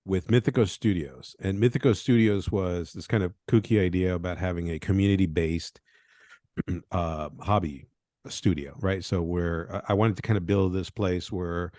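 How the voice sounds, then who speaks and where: A man's voice: sits low, steady tone, moderate pace, dark tone, no echo, clean studio sound. A man from Venezuela, a game designer talking loosely in a podcast interview, often tagging thoughts with "right?". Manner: rambling, tag questions like "right?".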